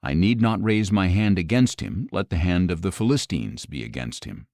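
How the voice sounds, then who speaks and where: A man reading as a low-voiced jealous king. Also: sing-song, moderate pace, darkish tone, no room sound, clean studio sound. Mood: scheming. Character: jealous, scheming adult male king of ancient Israel.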